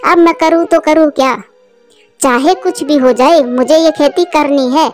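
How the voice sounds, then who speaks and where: A woman's voice: sits high, unhurried, soft background music. A woman voicing an earnest, hard-working female cartoon bird who frets aloud in a plaintive manner. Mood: determined. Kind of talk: Hindi cartoon story voice acting.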